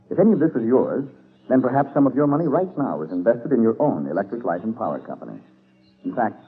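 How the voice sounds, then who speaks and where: Animated American 1940s radio announcer, male. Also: medium pitch, breathy edge. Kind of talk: 1940s scripted radio commercial narration. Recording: tinny 1940s radio recording, faint music.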